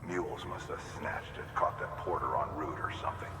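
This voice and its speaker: An actor playing a friendly, apologetic base technician giving a chatty, persuasive video game briefing. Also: male voice, very deep voice, steady tone, brisk. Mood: apologetic, coaxing. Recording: distant, echoing hall with background noise.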